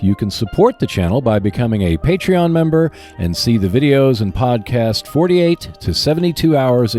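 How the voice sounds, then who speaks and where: A man hosting a hobby podcast, reading scripted announcements in a measured, clearly enunciated way. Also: mid-range voice, a little mellow. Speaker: podcast host.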